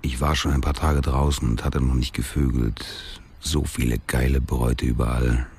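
Rumbling, coarse ex-convict man. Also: level tone, moderate pace, creaky, darkish tone, slightly rough, full character voice. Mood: crude, confiding. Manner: blunt, coarse, colloquial. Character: crude, world-weary male ex-convict out after fifteen years. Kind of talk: colloquial first-person radio drama monologue.

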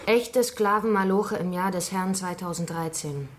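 A woman's voice: fairly low pitch, moderate pace, slight background noise, lightly acted. An actress playing an insistent, outspoken woman activist in a radio drama, speaking in a forthright, indignant manner. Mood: indignant, urgent.

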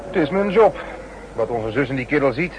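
Actor as a curt, mid-pitched male accomplice. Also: animated, fairly quick, noisy background, lightly acted. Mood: curt, gruff. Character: gruff, willing accomplice posing as an agent. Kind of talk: 1960s Dutch radio drama acting. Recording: 1960s radio drama recording.

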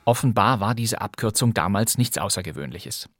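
A man hosting a radio-drama podcast, narrating a script in a lively, chatty way and speaking straight to the listener. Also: on the low side, normal speed, no echo, studio quality. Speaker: podcast host. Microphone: close studio microphone.

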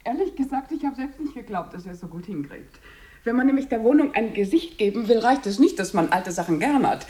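An actress plays a house-proud wife and hostess in a radio drama. Her voice sits high. She gushes over guests and chatters proudly about her home. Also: animated, fairly quick, mellow, close to an everyday voice. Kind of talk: radio play acting.

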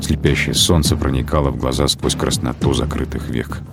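Male radio-drama narrator, extremely low voice, scripted literary delivery over prominent music. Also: steady tone, brisk, creaky.

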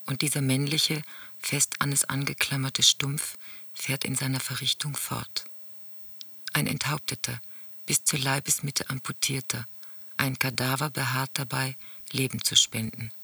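Intense, sharp, gravelly reciter. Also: male voice, medium pitch, flat delivery, a little slow, no echo, heavily acted. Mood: clinical, grim. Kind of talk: radio drama acting.

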